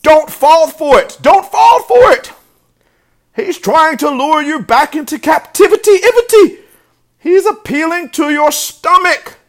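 A man's voice: very high voice, lively intonation, unhurried, voice pushed up, full character voice. A man voicing a bossy, frantic female goose in a children's storybook, urgently hollering orders and repeating phrases. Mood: alarmed, pleading.

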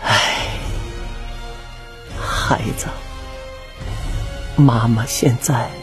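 A woman voicing a tender, sorrowful, self-sacrificing mother wolf, gently coaxing and soothing in an audio drama. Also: a bass voice, steady tone, soft background music, heavily acted. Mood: sad, soothing. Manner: slow, gentle, coaxing speech with sighs.

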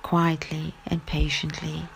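A woman narrating a guided sleep story in a gentle, soft and breathy voice, often close to a whisper, with long pauses. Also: deep voice, completely flat delivery, poor audio. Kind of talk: soothing bedtime story, guided relaxation. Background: music.

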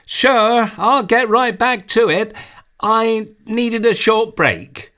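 A man's voice: sits high, slow pace, pushed and bright, clean tone, pitched above the performer's own voice, heavily acted. A man voicing a curious nine-year-old boy who speaks in an eager, lifted voice. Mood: breezy.

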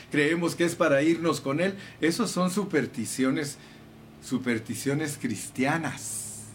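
A man's voice: fairly high pitch, a little hiss or hum. A man preaching a sermon with fervor, calling his listeners brothers and reading scripture aloud. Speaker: pastor.

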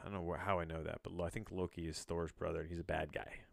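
Male solo podcaster, lowish smooth voice in a dry recording, loose read-aloud delivery with bemused tangents. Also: little pitch movement, fast, dry recording. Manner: rambling, self-interrupting, bemused; casual swearing. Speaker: podcast host. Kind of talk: casual solo podcast reading with rambling asides.

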